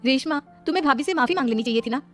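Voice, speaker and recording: A woman's voice: sits high, expressive, racing, soft background music, voice pushed up. A woman voicing a sensible, conciliatory young elder sister who reasons things out, in a moral-story cartoon. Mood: gently reasoning. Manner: reasoning, explanatory.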